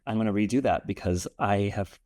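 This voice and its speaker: A man hosting an interview, asking questions in a relaxed, conversational way. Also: on the low side, fairly quick. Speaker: interview host. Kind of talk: casual interview talk.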